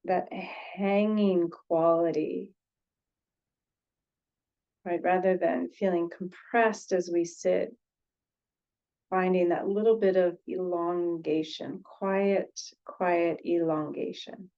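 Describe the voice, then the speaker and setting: A woman leading an unscripted guided meditation as a teacher, gently, with pauses, repeated phrases and sentences that trail off. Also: on the low side, fairly even intonation, highs cut off.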